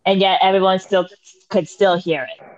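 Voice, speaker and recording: A woman's voice: medium pitch, fairly even intonation, normal speed. A woman co-hosting a casual chat podcast, trading crude banter with lots of laughing. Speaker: podcast co-host. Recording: dull lo-fi recording.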